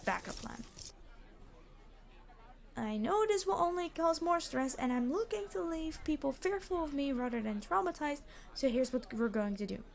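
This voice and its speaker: A woman's voice: sits high, sing-song, normal speed, vocal fry, exaggerated voice. A woman playing a reluctantly decent supervillain in an audio roleplay, speaking in a dry, formal, bossy, matter-of-fact way. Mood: exasperated, then calm, businesslike. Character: famous immortal supervillain, exasperated, reluctantly decent. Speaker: robbery leader.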